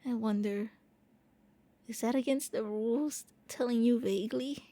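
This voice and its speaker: A woman voicing a gentle, shy vampire prince in an intimate ASMR roleplay, speaking softly and a little hesitantly. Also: on the high side, measured pace, no echo. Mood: playful, shy. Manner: gentle, hesitant, intimate, stammering when flustered. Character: gentle, shy, eager young-looking immortal vampire prince. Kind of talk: soft ASMR roleplay monologue.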